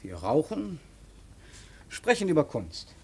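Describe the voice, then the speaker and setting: An actor playing an evasive young son in a radio drama, cowed yet defiant, giving clipped, defensive replies. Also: male voice, a little high, huge pitch swings, takes it slowly, vocal fry, darkish tone, husky, full character voice. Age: young adult. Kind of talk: German radio drama acting.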